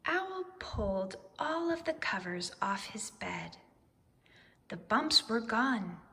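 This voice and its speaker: Woman reading a kids book out loud, slow and dramatic, long echoey reverb. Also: a little high, very animated. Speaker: storybook reader. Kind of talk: expressive children's read-aloud narration.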